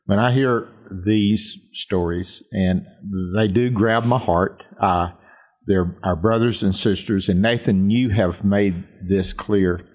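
Male radio host, lowish voice, earnest and reflective. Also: takes it slowly, darkish tone. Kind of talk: reflective devotional talk.

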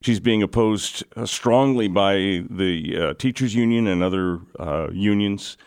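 An easygoing man in his fifties who shares news stories on a radio show, reading quotes out and chatting casually. His voice is fairly low. The studio sound is close and dry. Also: unhurried, a little mellow, clean tone, no echo. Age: 50s.

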